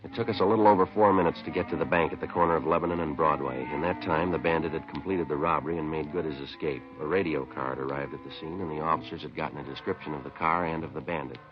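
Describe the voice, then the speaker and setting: A stoic detective with a very low voice. He speaks briskly and tersely, polite but deadpan, in 1950s police radio drama style. The recording is muffled with age. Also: over music. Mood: deadpan narration. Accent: American. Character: stoic, businesslike male police detective who narrates.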